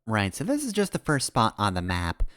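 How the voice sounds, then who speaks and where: A man's voice: on the low side, huge pitch swings, fairly quick, a bit bright. A man streaming a video game, giving casual, rambling commentary in a wry, playful way as he muses to himself. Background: video game music.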